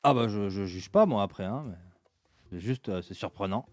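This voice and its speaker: A man's voice: lowish voice, animated, normal speed, darkish tone, no echo, pitched below the performer's own voice, lightly acted. A man voicing a chatty, nosy middle-aged barkeeper who talks bluntly and jokes around. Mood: gossipy. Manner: blunt, jokey, rambling.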